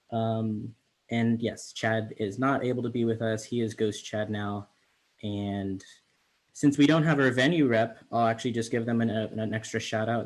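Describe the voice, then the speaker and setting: A young man from the Bay Area, managing editor, casually hosting a virtual reading with chatty asides. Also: mid-range voice. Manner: chatty, relaxed, with fillers.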